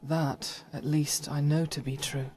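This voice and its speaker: An actress playing a devout, troubled warrior woman in a fantasy game, solemn and earnest with halting delivery. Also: sits low, flat delivery, a little mellow, husky, soft background music. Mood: grave, resolved. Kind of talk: dramatic fantasy game voice acting.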